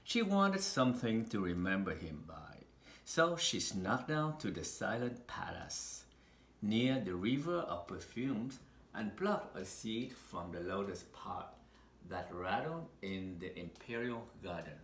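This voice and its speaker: A man reading a children's picture book aloud in an expressive, deliberate storytelling manner with dramatic pauses. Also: lowish voice. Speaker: storybook reader. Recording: roomy echo with faint background noise.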